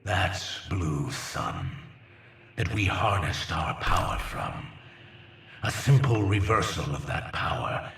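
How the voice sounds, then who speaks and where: A deep gravelly alien prophet. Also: male voice, huge pitch swings, fairly bright tone, echoey room, distant mic, slight background noise, heavily acted. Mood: ominous. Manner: slow, ominous, measured.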